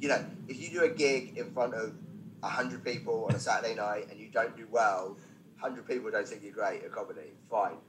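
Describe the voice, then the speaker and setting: A man's voice: on the low side, brisk, noisy background. A man speaking as a podcast guest, a stand-up comedian telling anecdotes conversationally with self-deprecating, wry asides.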